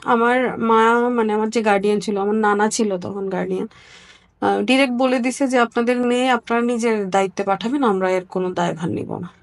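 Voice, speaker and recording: A young woman with a Bangladeshi accent and a fairly high voice tells her personal story in an interview. Her delivery is earnest and halting, and she repeats phrases to stress her point. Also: steady tone. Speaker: interview guest, nurse.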